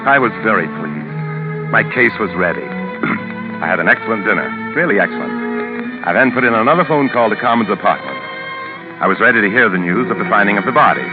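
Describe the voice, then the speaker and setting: A man's voice: fairly low pitch, animated, brisk, lightly acted. An actor playing a pompous, calculating lawyer who narrates his own crime, in 1940s radio style on a muffled old recording with music. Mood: smug, calculating. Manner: pompous, self-satisfied, articulate.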